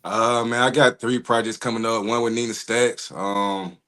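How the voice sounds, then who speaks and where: A man's voice: medium pitch, flat delivery, moderate pace, fairly bright tone. A male actor guest chatting in a laid-back, slangy way with many "man" fillers. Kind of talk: casual interview chat.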